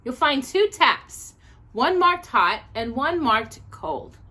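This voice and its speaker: A woman reader plays a helpful young storybook girl. She chatters eagerly in a high, bright voice with lively, reassuring intonation. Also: takes it slowly, heavily acted. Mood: friendly.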